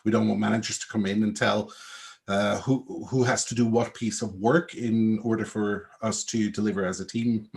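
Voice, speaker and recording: A man with a Swiss accent and a fairly low voice talks on a video-call panel. He lays out his ideas in a thoughtful, explanatory way, as an agile coach. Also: fairly even intonation, moderate pace, creaky, a little mellow. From Switzerland. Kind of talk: panel discussion.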